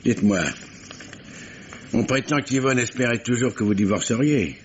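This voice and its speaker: An actor playing a dogged, polite but insinuating police commissioner who probes with stammering courtesy, in vintage French radio mystery style. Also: male voice, mid-range voice, normal speed, lightly acted. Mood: probing, insinuating. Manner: hesitant stammering courtesy, probing. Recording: dull vintage radio recording, faint hiss.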